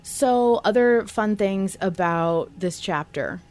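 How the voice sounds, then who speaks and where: A woman's voice: medium pitch, expressive, takes it slowly, darkish tone. A woman co-hosting a podcast, chatting in an informal, enthusiastic way and swearing freely. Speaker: podcast co-host. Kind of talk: casual podcast chat about an audio drama.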